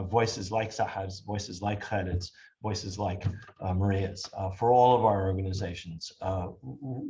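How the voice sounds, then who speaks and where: Older low-voiced moderator, dull call audio. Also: male voice. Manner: measured, thanking guests, frequent restarts. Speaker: panel moderator. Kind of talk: closing remarks of a webinar.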